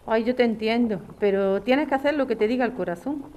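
An actress plays an older woman with a Spanish accent, affectionate and practical. Her voice sits slightly high. She talks in a coaxing, plain-spoken way, as in an intimate audio drama. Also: brisk, darkish tone, a little hiss or hum, natural voice. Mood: reassuring. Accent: Spain. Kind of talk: podcast radio drama acting, conversational.

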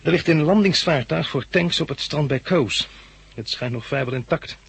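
Easygoing, practical male survivor, very husky with some fry, vintage radio play. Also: fairly high pitch, moderate pace, full character voice. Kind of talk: 1960s Dutch radio drama acting.

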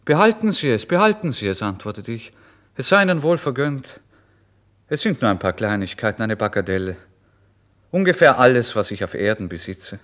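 An actor playing a penniless, emotional writer who recounts his misery in a theatrical, intense German radio drama reading. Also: lowish voice. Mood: agitated, desperate. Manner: theatrical, intense, swinging between hushed and exclamatory. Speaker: first-person narrator.

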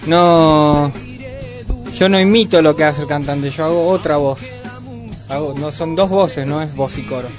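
Halting musician, music underneath. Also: male voice, fairly high pitch. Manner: halting, many fillers like "este". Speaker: band bassist and singer, guest. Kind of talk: casual radio interview. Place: radio studio.

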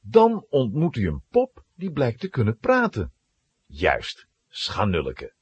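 A man giving dramatic, expressive scripted narration of a children's story. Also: medium pitch, takes it slowly, breathy edge.

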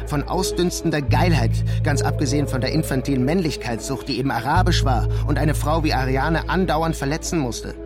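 An actor playing a weary, introspective journalist in a literary radio play, narrating in a measured, subdued way. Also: male voice, on the high side, lightly acted. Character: brooding, weary male journalist writing a painful letter. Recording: music behind the voice.

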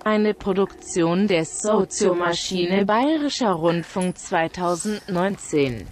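A woman's voice: on the low side, expressive, takes it slowly, a little mellow, a little hiss or hum. A woman reading scripted closing credits for a radio production.

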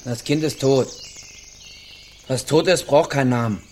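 An actor playing a gruff, impatient, guilt-ridden backwoods man in a radio drama, speaking curtly. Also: medium pitch, a little slow, a little hiss or hum, lightly acted. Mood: gruff, irritated. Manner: curt, brusque.

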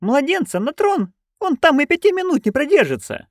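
A storyteller voicing a chatty, coarse, bawdy old witch woman who rambles loudly. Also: sits high, very animated, normal speed, dry recording, clean studio sound, pitched above the performer's own voice. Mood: gossipy.

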